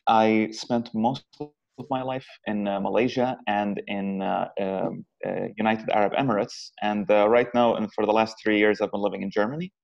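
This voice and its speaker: Gravelly low-voiced man giving a lecture. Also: flat delivery, moderate pace, a little mellow. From Syria. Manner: hesitant, many fillers and restarts. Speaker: guest lecturer. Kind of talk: technical lecture. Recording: dull lo-fi remote audio.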